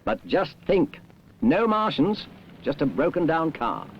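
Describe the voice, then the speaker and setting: A man's voice: on the high side, sing-song, measured pace. A British man narrating a 1970s road safety film in a wry, advisory way. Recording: muffled, noisy old film soundtrack.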